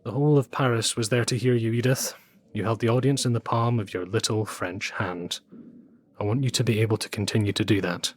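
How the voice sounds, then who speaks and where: A man's voice: fairly low pitch, fairly even intonation, fairly quick, close to an everyday voice. Courteous, menacing Nazi official played by a man. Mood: flattering, insinuating. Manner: polite, insinuating, formal. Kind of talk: audio drama acting.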